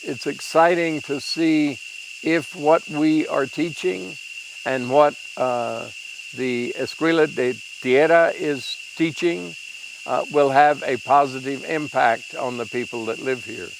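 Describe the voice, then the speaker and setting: An older man, a permaculture instructor, speaking slowly with frequent pauses in an interview. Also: medium pitch, noisy background. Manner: slow, halting, many uh pauses. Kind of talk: interview to camera.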